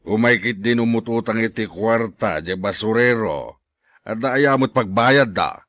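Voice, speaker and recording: A man's voice: lowish voice, measured pace, fairly bright tone, no echo. An actor playing a rich, stingy older village man with a mocking, sneering manner in a radio drama. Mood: contemptuous. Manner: scornful, sneering, incredulous.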